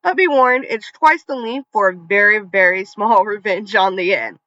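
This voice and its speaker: A storyteller with a bright, forceful tone reads online revenge posts in a chatty way, tripping over a word here and there and restarting it. The voice sits close and dry, with no room sound, and the recording is a little dull and lo-fi. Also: medium pitch, moderate pace, no echo. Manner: conversational reading, trips over words and restarts. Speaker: story channel narrator. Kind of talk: reading online revenge stories aloud with casual asides.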